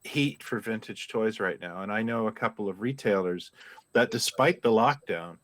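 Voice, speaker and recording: A man from Canada chatting about vintage toys in a halting, self-deprecating way, with many restarts. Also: on the low side, no echo. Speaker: toy collector and writer. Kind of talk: casual panel chat.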